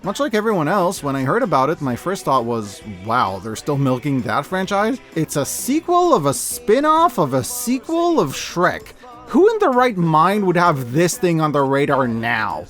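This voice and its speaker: Male host, 30s, slightly high voice, scripted but informal and emphatic commentary, faint music underneath. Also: sing-song, moderate pace, fairly bright tone. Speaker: host of a year-in-review media video. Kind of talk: scripted enthusiastic video-essay commentary.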